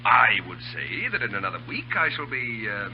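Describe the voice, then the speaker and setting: An actor playing a witty, steely British army officer with emphatic, theatrical delivery in old radio drama style. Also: male voice, mid-range voice, very animated, normal speed, soft background music. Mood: dry, wry. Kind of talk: 1930s radio drama acting. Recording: dull, hissy old radio recording.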